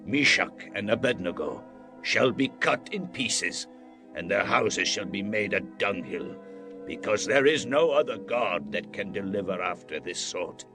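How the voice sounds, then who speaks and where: An actor playing a proud, wrathful ancient king in a dramatized scripture, speaking in a grand, deliberate, declamatory way. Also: lowish voice, animated. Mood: solemn decree. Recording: music bed behind the voice.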